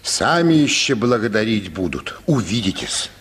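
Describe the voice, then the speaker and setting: An actor playing a stern, relentless folk healer in a Russian radio play, folksy and gruffly commanding. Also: male voice, fairly low pitch, very animated, normal speed, fairly bright tone, slight background noise. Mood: folksy, reassuring. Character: stern, relentless barber-healer man forcing a cure, folksy.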